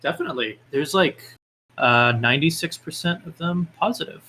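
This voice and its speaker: A man's voice: fairly high pitch, normal speed, bright, forceful tone, a little hiss or hum. A man being interviewed as a guest, talking casually with hesitant phrasing and lots of filler words. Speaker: podcast guest, independent game developer.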